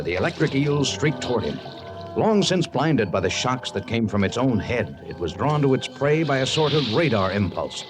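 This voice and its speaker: Animated male narrator over loud music. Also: mid-range voice, low-quality recording. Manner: formal, measured storytelling. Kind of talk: dramatic scripted radio narration. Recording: vintage radio, loud music underneath.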